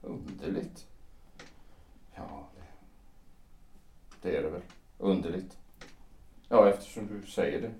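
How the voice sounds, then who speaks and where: Man acting a halting, low-voiced wounded former lover. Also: mellow, distant mic, natural voice. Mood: wounded, resigned. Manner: halting, repeats words, brooding. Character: wounded, jealous fifty-year-old former lover, male. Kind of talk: period stage-play audio drama acting. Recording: roomy echo, away from mic.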